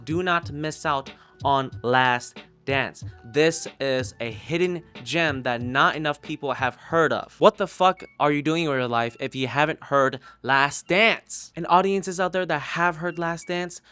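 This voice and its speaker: A man's voice: a little high, a little slow, pushed and bright, smooth. Gushing man reviewer over background music. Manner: gushing, emphatic, freely swearing. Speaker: YouTube reviewer and host. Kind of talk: casual enthusiastic review, recommending audio dramas. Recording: music playing under the voice.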